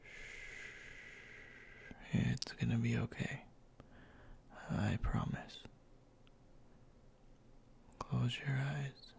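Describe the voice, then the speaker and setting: A man playing a bossy but tender young boyfriend in a soft, intimate ASMR roleplay, speaking in a halting, breathy murmur that often drops to a whisper. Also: medium pitch, steady tone, full character voice. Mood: worried, soothing. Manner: halting, murmured, scolding yet caring.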